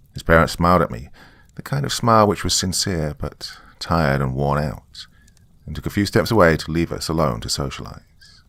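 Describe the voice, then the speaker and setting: A man's voice: very deep voice, gravelly, a bit bright, dry recording. A man narrating a story in the first person.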